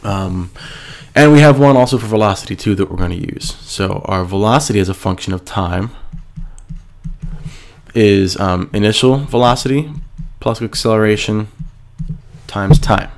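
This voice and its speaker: Male teacher, stuffy with a cold, low and gravelly, patient and methodical. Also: moderate pace, pauses often. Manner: patient, step-by-step, asks and answers himself. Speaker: physics teacher. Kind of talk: screencast lesson explaining a worksheet.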